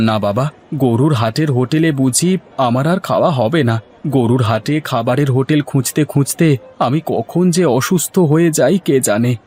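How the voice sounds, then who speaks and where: A man playing an excitable, cheerful village husband and food seller in a cartoon folk tale, with exclamatory, folksy speech. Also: mid-range voice, natural voice. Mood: grumbling. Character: cheerful, excitable village husband turned rice-hotel owner.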